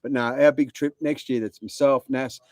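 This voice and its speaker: Laid-back Australian bloke, blunt banter. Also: male voice, medium pitch, fairly even intonation, moderate pace, a little mellow, no echo, clean studio sound. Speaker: guest. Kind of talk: casual chat.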